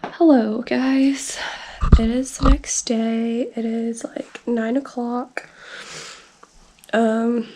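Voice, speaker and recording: A woman with a congested, sick-sounding voice talks to her camera in a rambling video diary. Her voice sits a little high and has a gravelly, fry-heavy edge. She speaks slowly and leans on filler words. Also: level tone, very noisy. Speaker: vlogger. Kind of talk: casual personal video diary.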